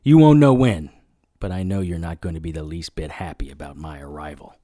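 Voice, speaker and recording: A man's voice: low voice, brisk, mellow, faint music behind, close to an everyday voice. A man playing a terse, hard-boiled private investigator who narrates his own noir audio drama with grim intent. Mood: vengeful.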